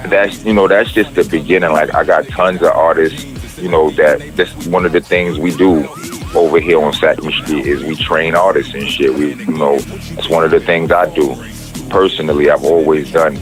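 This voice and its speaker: A middle-aged man with a deep voice, a rapper talking casually in an interview, rambling and profane, with many restarts. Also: steady tone, normal speed, soft background music, rough recording.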